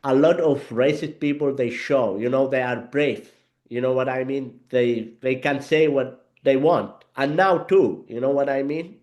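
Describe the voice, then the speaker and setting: A man from Yemen, with Arabic as his first language, speaks haltingly on a video call. He restarts often and leans on fillers. His voice sits at an ordinary middle pitch. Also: darkish tone. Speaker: guest speaker. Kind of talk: casual conversation over video call.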